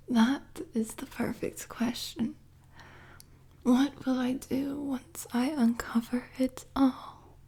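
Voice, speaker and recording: A woman playing an unhinged, obsessive female supervillain in an intimate roleplay audio drama, teasing and savoring each threat in a husky half-whisper. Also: high voice, dark tone, full character voice. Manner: slow, teasing, savoring threats, sudden swells into manic intensity. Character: unhinged, obsessive young female supervillain, gleefully menacing prisoner.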